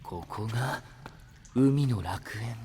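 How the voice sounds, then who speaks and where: A man playing a gentle, refined young gentleman in an anime-style audio drama, speaking very formally and politely. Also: medium pitch, takes it slowly, lightly acted. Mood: admiring.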